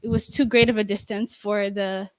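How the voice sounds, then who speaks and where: A young woman answers questions after her presentation, stopping and restarting often as she talks. She holds a microphone close, so her voice sounds dry, with no room echo. Her pitch sits in the middle. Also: level tone. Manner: halting, many restarts and fillers. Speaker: student presenter. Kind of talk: class presentation and Q&A. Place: bright office-style meeting room. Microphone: handheld microphone.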